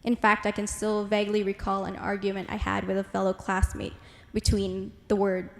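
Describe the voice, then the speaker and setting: A teenage girl from the Philippines whose first language is Ilonggo, giving an earnest, measured prepared presentation as a student speaker. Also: medium pitch, monotone. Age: teens. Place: large hall. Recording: echoey hall with long reverb.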